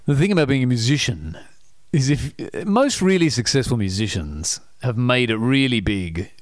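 A man's voice: medium pitch, lively intonation, normal speed, no room sound, studio quality. A middle-aged man telling stories in casual conversation, self-deprecating and laughing often, with many restarts.

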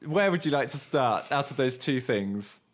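A man's voice: mid-range voice, expressive, moderate pace. A man co-hosting a podcast in casual chat, speaking with a cold and sore throat, teasing dryly and chuckling often. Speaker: podcast co-host.

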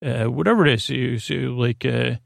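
A man hosting a bedtime podcast in a rambling, halting casual monologue, repeating himself and drifting into tangents with a gravelly voice. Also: medium pitch, no room sound, clean studio sound. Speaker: podcast host.

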